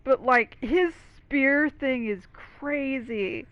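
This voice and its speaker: A woman's voice: high-pitched, drawn-out words, no echo, lo-fi sound. A woman giving casual, informal, reactive commentary over a game playthrough. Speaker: gaming video commentator. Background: game music.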